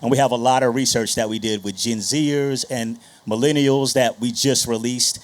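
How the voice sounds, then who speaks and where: A man speaking informally and conversationally to an audience as a panel moderator on stage. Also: mid-range voice. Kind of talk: casual stage introduction of a panel. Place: on stage at a live panel session.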